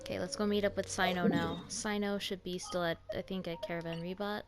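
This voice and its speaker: A woman's voice: lowish voice, flat delivery, a little fast, over music. A woman streamer giving casual commentary as she reacts to and plays a video game.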